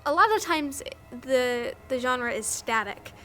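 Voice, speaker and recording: A woman's voice: high-pitched, expressive, measured pace, sharp tone. A woman talking casually and enthusiastically in a conversational, interview-style commentary. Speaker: documentary interviewee, filmmaker.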